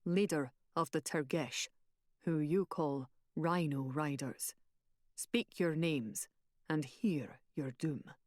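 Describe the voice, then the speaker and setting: A woman's voice: low voice, no echo, studio quality, voice pushed down. A woman putting on a stern, commanding warrior chief. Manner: slow, imperious pronouncements.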